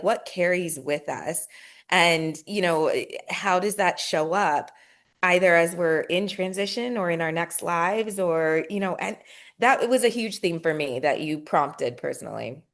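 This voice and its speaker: Reflective woman co-host, lowish voice. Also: measured pace. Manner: reflective, addresses the audience as "y'all". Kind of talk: casual book club chat.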